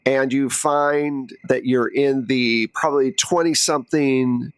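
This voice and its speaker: A man's voice: mid-range voice, fairly even intonation, takes it slowly, clear, resonant tone, no echo, poor audio. A man running a tabletop roleplaying game, narrating in a conversational, improvised way as game master. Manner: conversational, second-person storytelling.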